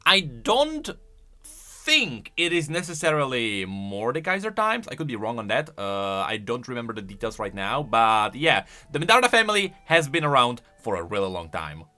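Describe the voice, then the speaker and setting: Animated, bright-voiced man doing casual commentary. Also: medium pitch, normal speed. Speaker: gaming lore YouTuber. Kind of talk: casual commentary on game lore.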